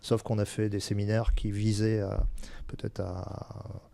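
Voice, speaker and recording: Official guy, low creaky voice, close dry sound, keeps feeling for the right words. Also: flat delivery, measured pace, mellow, no room sound. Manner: hesitant, searching for words, candid. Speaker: senior local-government administrator, interview guest. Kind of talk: explanatory interview talk.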